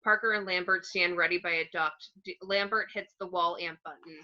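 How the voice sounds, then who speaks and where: Bright, lowish-voiced woman narrating a screenplay. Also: fairly even intonation, fairly quick, muffled recording. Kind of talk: reading screenplay action descriptions, scripted narration.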